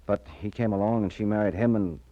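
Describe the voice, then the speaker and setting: An American actor playing a guilt-ridden, jealous man confessing, halting and pleading, in 1940s radio crime drama. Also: lowish voice, fairly even intonation, mellow, no room sound, lo-fi sound, natural voice. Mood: remorseful.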